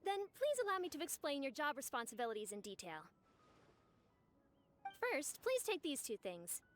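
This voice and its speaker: A woman's voice: high voice, very animated, normal speed, faint music behind. A woman playing a polite, cheerful young festival organizer in an anime game, with courteous and formal phrasing. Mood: cheerful, businesslike.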